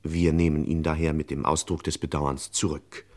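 An actor playing a pompous, deadpan male narrator of a parody detective thriller in an old radio play. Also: extremely low pitch, normal speed. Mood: mock-solemn. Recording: noisy old radio recording with clicks.

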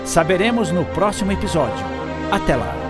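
A man's voice: high voice, big pitch swings, moderate pace, over loud music. A man with a Brazilian accent narrating a scripted radio serial.

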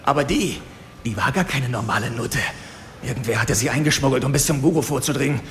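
A man's voice: medium pitch, a little fast, lightly acted. An actor playing a cynical, cowardly henchman in a radio drama, talking in a coarse, self-excusing way. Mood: resentful. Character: cynical, cowardly male henchman confessing to police. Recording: clear ambient noise behind.

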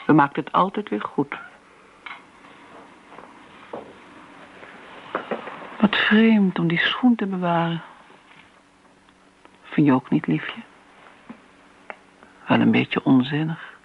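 An actress playing a disdainful older woman who reminisces briskly in a radio drama. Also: low voice, creaky, slightly rough, heavily acted. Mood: reminiscing. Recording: dull, noisy old radio play recording.

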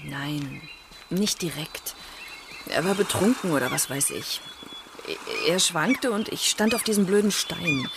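A woman's voice: lowish voice, animated, a little slow. An actress playing an aggrieved, weary woman explaining herself in a German radio crime drama. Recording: clearly noisy outdoor scene ambience.

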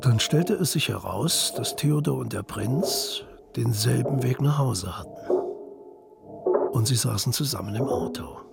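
A man with a creaky, gravelly voice, narrating a literary radio play. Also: medium pitch, lively intonation, measured pace, over loud music.

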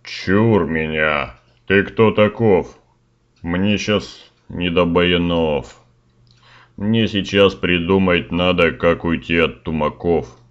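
Guy doing a cocky peasant fool in a rhyming fairy tale, deep slow voice, dull recording. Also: smooth. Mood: worried. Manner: slangy, colloquial rhymed delivery. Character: Ivan the Fool, slangy boastful peasant lad of a fairy tale. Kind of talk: rhymed comic verse audio tale.

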